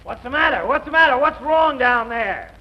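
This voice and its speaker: An actor playing a sleepy, put-upon lawyer friend with a grumbling, deadpan manner in 1940s radio style. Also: male voice, very high-pitched, moderate pace. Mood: grumpy, sleepy. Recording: muffled, noisy old radio recording.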